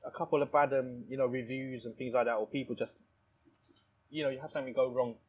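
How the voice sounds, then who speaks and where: A man's voice: mid-range voice, fairly even intonation, quick pace, slightly rough, phone-quality sound. A man telling stories casually in conversation, rambling and repeating phrases, with frequent "you know". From born in Thailand, lives in London. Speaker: restaurant owner, interview guest.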